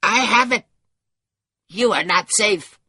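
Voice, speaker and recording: A man voicing a scrawny, mad cave creature that cackles and rants in a shrill, gloating voice for an audiobook. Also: takes it slowly, bright, forceful tone, breathy edge, full character voice. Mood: dark threat. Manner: ranting, gloating. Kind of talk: audiobook character voice.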